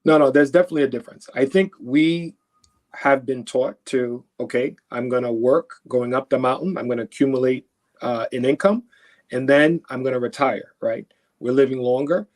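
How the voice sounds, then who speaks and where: Male expert guest from Haiti, mid-pitched, conversational and emphatic, explains by example. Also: moderate pace, a little mellow. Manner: explains through imagined first-person quotes; emphatic, often checking with "right?". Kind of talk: conversational interview answers.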